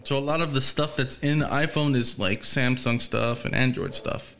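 Podcast host guy rambling, lots of likes and restarts, slangy, ordinary pitch. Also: moderate pace, a bit bright. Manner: rambling, filler-heavy slang with frequent restarts. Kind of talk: casual chat.

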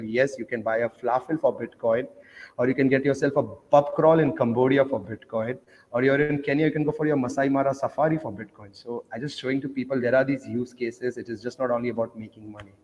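A man's voice: medium pitch, fairly even intonation, quick pace, darkish tone. Male guest from Oman, eager storyteller, muffled audio with long reverb. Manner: eager storyteller, loose grammar. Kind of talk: casual panel chat.

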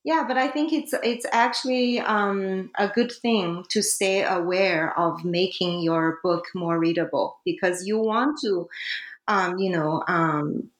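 A woman's voice: fairly low pitch, slow, roomy sound. A woman whose first language is not English, talking about writing her book as a guest author, hesitant with many fillers and restarts. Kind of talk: academic interview.

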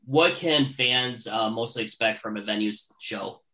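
Guy in his late 30s interviewing a band, bright voice, chatty and upbeat questions. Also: fairly low pitch, unhurried. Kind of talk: casual interview.

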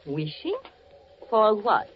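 A woman's voice: medium pitch, sing-song, takes it slowly, soft background music. A woman playing an infatuated, jealous German lady in a 1940s radio drama, coy and theatrical. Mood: coy, smitten. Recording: dull vintage radio broadcast.

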